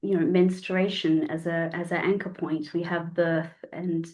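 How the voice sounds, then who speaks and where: A woman in her forties with a slightly croaky voice, reflecting as a guest in a casual podcast conversation. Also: lowish voice, flat delivery, a little slow, darkish tone, poor audio. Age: 40s. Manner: reflective, searching for words, repeats phrases. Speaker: guest, counsellor and somatic sexologist.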